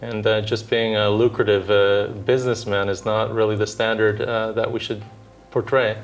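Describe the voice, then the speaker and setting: A man in his thirties from Southern California, a dentist answering interview questions in a measured, thoughtful way with frequent filler pauses. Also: lowish voice, flat delivery. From Southern California, United States. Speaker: dentist and orthodontist guest.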